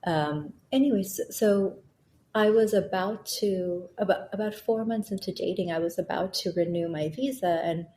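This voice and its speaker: A woman's voice: medium pitch, moderate pace, mellow. A woman in her thirties from Bangladesh, raised in Thailand, speaking earnestly and reflectively as a guest in a conversation. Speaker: guest author. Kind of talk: casual conversation.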